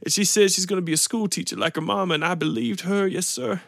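A man voicing a bitter, weepy man with a smoker's rasp who pleads and breaks into tears. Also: sits high, quick pace, no room sound, studio quality, voice pushed up. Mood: tearful.

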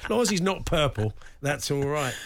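A man's voice: a little high, very animated, brisk, creaky, dry recording. A man hosting a radio show, teasing his co-host in dry, casual banter and laughing along.

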